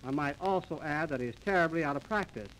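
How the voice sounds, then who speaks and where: A man's voice: on the high side, animated, fast, noisy background. A man announcing and trading patter in a 1930s radio comedy, with a mock-formal, wisecracking manner. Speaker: comedy duo host, announcer and straight-faced joker. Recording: early 1930s radio transcription disc.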